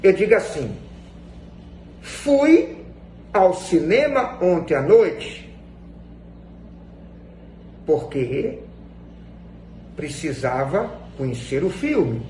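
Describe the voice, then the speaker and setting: A Brazilian man teaches in a strikingly high voice that swings widely in pitch. He is didactic and emphatic, repeating phrases to stress them. The room rings with echo around his words. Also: very animated, very slow, pauses often, a little mellow. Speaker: Portuguese grammar teacher. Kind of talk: instructional lesson explained to viewers. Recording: echoey room with faint background noise.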